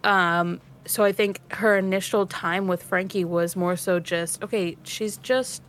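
A woman with a fairly low voice talks through a recap at a very slow pace. She is thoughtful and reflective, assembling her ideas as she goes in long, winding sentences. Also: level tone, fairly bright tone. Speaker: cast member of an actual play series.

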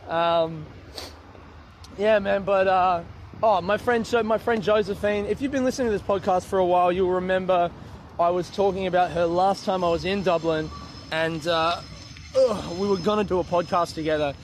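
A young-sounding man with a slightly high voice, swearing freely and mocking himself as he rambles. He talks while walking outdoors, with faint ambience behind him. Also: normal speed, slight background noise. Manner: sweary, self-mocking, laughs often. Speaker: comedian podcaster. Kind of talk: casual rambling monologue. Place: outdoors, walking through a park.

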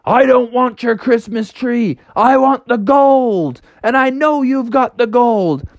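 A man's voice: very high-pitched, takes it slowly, no room sound, muffled recording, voice pushed up, heavily acted. A man voicing a greedy male bear in a told story, drawling and bellowing about gold.

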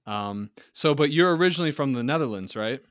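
A man's voice: mid-range voice, lively intonation, a little fast, a bit bright. A man hosting a podcast, interviewing a guest in a casual, conversational style. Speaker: podcast host.

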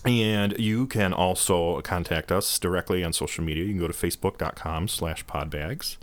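A man's voice: low-pitched, normal speed, dry recording. A man co-hosting a casual podcast, reading out the show's links and plugs with hesitant fillers. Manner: hesitant, filler-heavy delivery. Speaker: podcast co-host.

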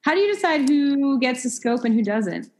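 A woman's voice: sits high, very animated, moderate pace, darkish tone. Female moderator from New Jersey, friendly and easygoing. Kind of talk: casual panel talk.

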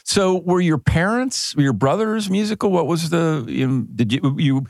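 A man's voice: on the high side, animated, normal speed, darkish tone, no echo. A man hosting a casual interview, asking loose questions with lots of fillers. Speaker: interview host.

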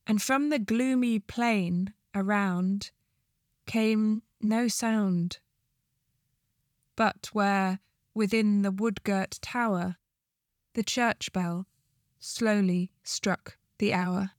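A woman reciting poetry in a slow, measured delivery. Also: medium pitch, steady tone, no echo, studio quality. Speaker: poetry reader, charity staff.

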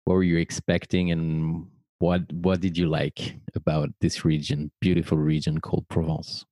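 A man's voice: extremely low pitch, level tone, normal speed, mellow, no echo. A man hosting a podcast, polite and courteous, with short affirmations and hesitant uhs. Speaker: podcast host. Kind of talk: interview and show sign-off.

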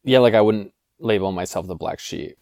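A man's voice: fairly low pitch, normal speed, darkish tone, no room sound, clean studio sound. A young man from Canada, a podcast guest, talking casually in a loose, rambling way. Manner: rambling, many fillers. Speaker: podcast guest, life coach. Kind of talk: casual chat.

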